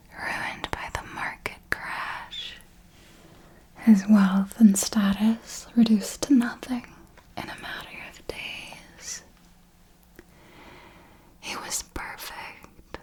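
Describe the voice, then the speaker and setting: A woman voicing a seductive, predatory female vampire mentor in a breathy whispered ASMR roleplay, with lingering, deliberate phrasing. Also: mid-range voice, low-quality recording. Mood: hushed, predatory.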